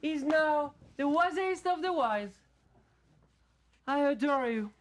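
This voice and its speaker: A woman's voice: high-pitched, expressive, slow pace. A woman playing a fickle, gushing female player in a traveling troupe, with melodramatic stage delivery. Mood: adoring. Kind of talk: theatrical stage play acting.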